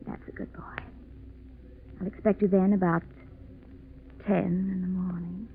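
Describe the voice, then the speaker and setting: An American actress playing a smug, scheming blackmailer in a 1940s radio drama, purring with mocking politeness on a muffled, noisy old recording. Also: female voice, lowish voice, little pitch movement, unhurried. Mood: mocking satisfaction.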